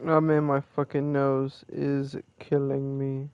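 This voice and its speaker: A man's voice: mid-range voice, completely flat delivery, slow pace, clean tone. A twenty-year-old man streaming a game, talking casually and cursing often. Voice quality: profane, laid-back. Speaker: gaming streamer. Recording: dull, dry, lo-fi mic sound.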